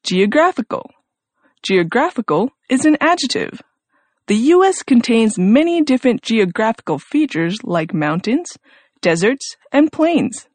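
A woman teaching vocabulary words in a scripted English lesson, in a bright, sing-song delivery. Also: fairly high pitch, big pitch swings, a little slow, no echo.

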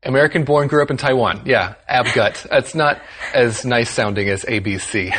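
A man's voice: medium pitch, normal speed, fairly bright tone. A man co-hosting a scripted language lesson, playful and friendly as he explains words.

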